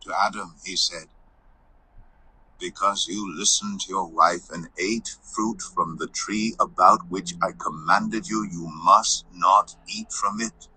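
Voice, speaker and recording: A man reading scripture aloud in a measured, formal delivery. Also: low-pitched, steady tone, pushed and bright, breathy edge, poor audio.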